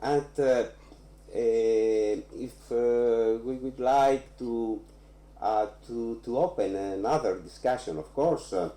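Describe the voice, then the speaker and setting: A man who is not a native English speaker, speaking as an academic panelist very haltingly, almost word by word. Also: medium pitch, dark tone. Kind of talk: academic round-table discussion. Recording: roomy, echoing room.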